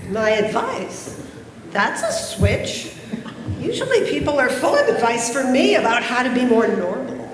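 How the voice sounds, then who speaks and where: A woman's voice: sits high, sing-song, unhurried. A woman playing a wry, eccentric, reclusive witch in a readers theater stage play. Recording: echoey hall, faint noise.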